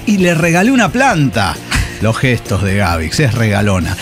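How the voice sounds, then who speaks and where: A man telling stories as a podcast host in casual comedic chat, animated and acting out what people say. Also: fairly low pitch, moderate pace. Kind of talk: casual comedic radio chat, reading and commenting on listener stories. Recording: music bed under the voice. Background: background music.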